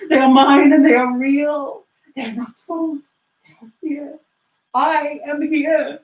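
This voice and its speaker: A woman's voice: high-pitched, little pitch movement, slow. A woman playing a reformed old-story miser, a man, in an effusive, exclamatory theatrical reading. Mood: overjoyed, giddy. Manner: exclamatory, effusive, breathless repetition. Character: reformed miser hero, giddy, frantic, overjoyed man. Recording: roomy, distant microphone.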